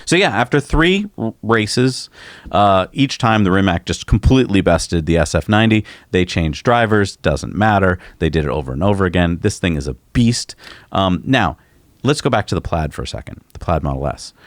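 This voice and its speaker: A low-voiced man speaking in a casual, enthusiastic podcast conversation. He is recorded dry and close on the mic. He talks through ideas like he is explaining them to a friend. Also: lively intonation, moderate pace, no room sound. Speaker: podcast co-host.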